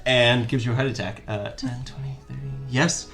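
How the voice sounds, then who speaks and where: A game master narrating and refereeing a tabletop fantasy game, speaking in a measured, hesitant way with pauses. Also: mid-range voice, steady tone, over loud music.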